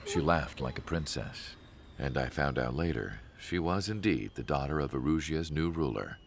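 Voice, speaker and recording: A man playing a solemn scientist who narrates a video game story in a measured, reflective way. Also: extremely low pitch, lively intonation, gravelly, a little hiss or hum, heavily acted. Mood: wistful. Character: solemn older male research scientist recalling an ace pilot. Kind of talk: scripted dramatic cutscene narration.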